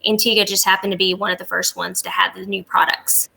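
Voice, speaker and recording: Bright female voice, casual interview guest. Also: mid-range voice, level tone, quick pace, gravelly, slightly rough, rough recording. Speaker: podcast guest, travel agent. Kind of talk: conversational interview answers.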